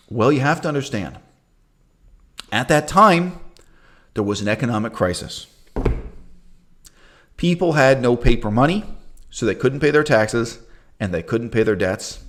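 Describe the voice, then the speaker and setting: Guy ranting about history on his channel, voice swoops up and down a lot, loud then quiet. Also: medium pitch. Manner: emphatic, swings between loud bursts and quiet asides. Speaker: channel host. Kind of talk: opinionated history commentary.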